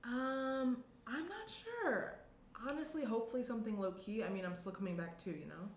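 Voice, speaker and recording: A woman's voice: a little high, lively intonation, normal speed. A young woman playing a guarded, stressed woman in a screenplay table read, speaking hesitantly. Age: young adult. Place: small indoor room around a table. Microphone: shared tabletop microphone. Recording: roomy tabletop pickup, faint noise.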